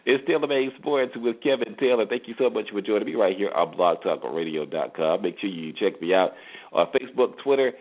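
A man hosting a solo sports talk show, giving conversational commentary with rhetorical questions and repeated points for emphasis. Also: mid-range voice. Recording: tinny phone-line internet radio audio.

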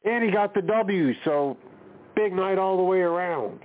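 A man's voice: high voice, measured pace. Man hosting over a tinny line. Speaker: podcast host. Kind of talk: casual chat, interviewing.

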